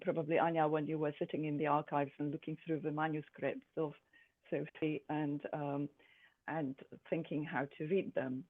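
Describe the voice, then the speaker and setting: A speaker born in Poland, an artist presenting her own work in a thoughtful, halting way with many pauses and fillers. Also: mid-range voice. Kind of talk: art presentation to a group. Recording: tinny phone-like sound.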